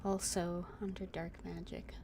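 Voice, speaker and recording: Slow-talking woman game streamer. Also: fairly low pitch, flat delivery, gravelly, dark tone, a little hiss or hum. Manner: unhurried, thinking aloud with fillers. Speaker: gaming streamer. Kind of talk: casual gameplay commentary.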